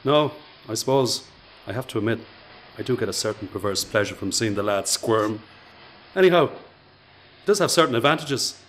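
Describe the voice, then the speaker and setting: An actor playing a self-assured, dry-witted factory worker with a Dublin accent in a radio play. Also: male voice, lowish voice, lively intonation, normal speed, fairly bright tone. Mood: candid, wry. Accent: Irish, Dublin. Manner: wry, teasing, confident.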